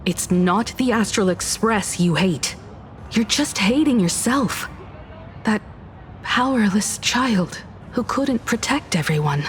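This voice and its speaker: Slow, steady, compassionate young heroine. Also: female voice, medium pitch, slight background noise. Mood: earnest, consoling. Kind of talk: dramatic video game voice acting.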